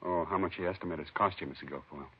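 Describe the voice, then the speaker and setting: An actor playing a polite, low-key police officer in a 1950s radio drama, with a husky voice. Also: male voice, very fast. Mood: polite, subdued. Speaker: police officer, detective's partner. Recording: dull vintage radio recording.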